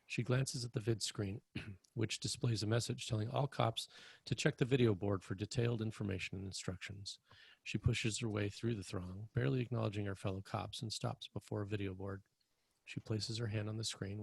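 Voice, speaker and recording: Deep-ish guy reading screenplay directions, gravelly fry, husky narration. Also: steady tone, normal speed, no echo, poor audio. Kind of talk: scripted narration.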